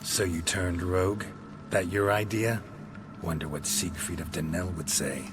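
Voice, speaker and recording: A man playing a gruff, laconic monster hunter in a video game, terse and deadpan with a low gravelly voice. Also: measured pace, background noise. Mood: cool defiance.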